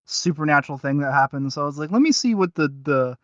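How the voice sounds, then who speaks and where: A man's voice: on the high side, animated, fast, no room sound, dull audio. A man co-hosting a review podcast in casual, unscripted chat. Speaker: podcast co-host.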